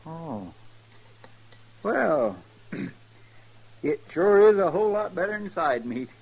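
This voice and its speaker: A man's voice: a little high, sing-song, slow, gravelly, a little mellow, slightly rough, dull audio, heavily acted. A man acting a fussy, good-natured western sidekick in a radio drama, with a folksy Southwestern American drawl. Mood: sheepish, friendly. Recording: old radio recording, faint noise.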